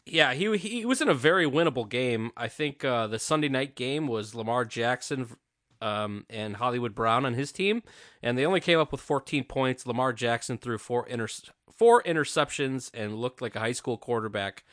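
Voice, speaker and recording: A man with a bright, forceful voice leads a laid-back sports chat. He rambles a bit and trails off mid-thought. The sound is dry and close. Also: mid-range voice, moderate pace, no room sound. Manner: relaxed, rambling, trails off often. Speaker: podcast host. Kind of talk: casual chat.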